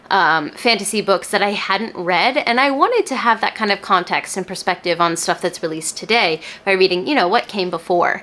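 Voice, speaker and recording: Chatty, animated woman book vlogger. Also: medium pitch. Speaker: book reviewer and video host. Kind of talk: casual book vlog talk to camera.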